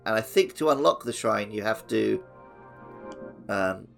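A man with a fairly low voice full of vocal fry talks as a gaming podcast co-host. He speaks casually and loosely, as in unscripted conversation between two people. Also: measured pace, soft background music.

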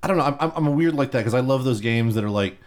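A man's voice: medium pitch, racing. A man co-hosting a podcast, chatting casually about games, rambling with many restarts and fillers. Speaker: podcast co-host. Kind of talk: casual chat.

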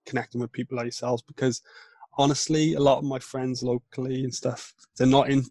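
A man's voice: mid-range voice, little pitch movement, warm tone, no echo. A Welsh man in his thirties, a podcast guest talking in a reflective way with many restarts. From Wales. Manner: reflective, halting with frequent restarts. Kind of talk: casual interview chat.

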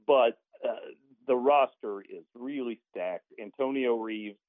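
Male sports announcer as call-in guest, narrow phone-band sound, mid pitch, chatty and folksy analysis. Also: slow pace, no echo. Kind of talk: conversational basketball analysis. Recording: tinny phone-like line.

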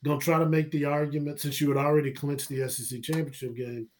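A man's voice: a little high, level tone, fast talker, a little hiss or hum. A man, chatting casually about sports, rambling and laughing often. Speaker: podcast guest.

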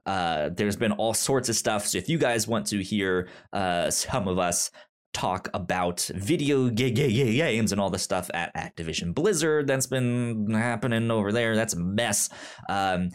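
A man's voice: on the low side, expressive, a little slow. A man hosting a podcast in a casual, chatty style, often catching and repeating sounds as he starts words. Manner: frequent stammered sound repetitions. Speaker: podcast host.

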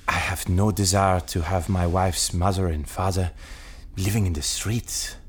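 A man's voice: low voice, fairly even intonation, unhurried, breathy edge. An actor playing a proud, controlling husband and father in an audio drama. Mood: firm, insistent.

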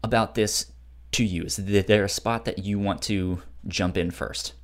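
Halting, casual male podcast host. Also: fairly low pitch, steady tone. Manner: halting, with stammered repeats of sounds and words. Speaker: host of a manga discussion show. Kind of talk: casual chat.

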